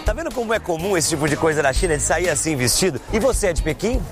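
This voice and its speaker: A man's voice: fairly high pitch, lively intonation, fast talker. A man with a Brazilian accent hosting a travel show on location, chatting playfully and asking lots of questions. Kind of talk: spontaneous on-location travel commentary and street interviews. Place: outdoors on a busy tourist street. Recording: loud music behind the voice.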